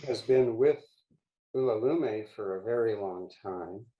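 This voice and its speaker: An older man, a poet guest, speaking in a measured, halting way on a video call from a home study lined with books. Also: lowish voice, mellow, dull audio.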